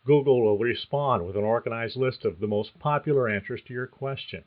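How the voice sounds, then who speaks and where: A man hosting a career podcast, reading a script in a measured, explanatory way. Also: mid-range voice, dull audio. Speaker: podcast host. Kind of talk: scripted narration.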